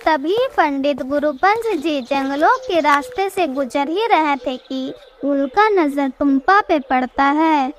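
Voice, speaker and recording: A female narrator with a clearly high voice. She reads a scripted cartoon moral tale as a storyteller. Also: lively intonation, slow pace, few pauses, a little hiss or hum.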